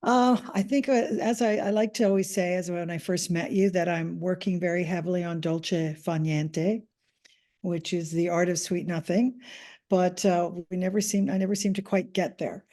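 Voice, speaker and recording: A woman's voice: fairly low pitch, steady tone, normal speed, dark tone. A Canadian woman, a podcast guest, answering interview questions in a chatty, hesitant way with many ums and restarts. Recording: audio podcast remote recording.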